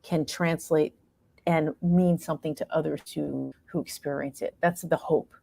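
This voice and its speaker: A woman's voice: low-pitched, fairly even intonation, measured pace, vocal fry, mellow, no echo. A woman being interviewed as a playwright and performer, speaking reflectively and earnestly, often searching for her words.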